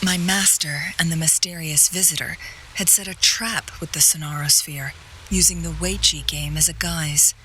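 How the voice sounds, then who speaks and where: A woman playing a composed, courteous strategist in a video game, speaking in a poised, measured, formal way with gentle teasing. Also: low-pitched, fairly even intonation, a little hiss or hum, rough recording. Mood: composed, explanatory. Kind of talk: video game story dialogue.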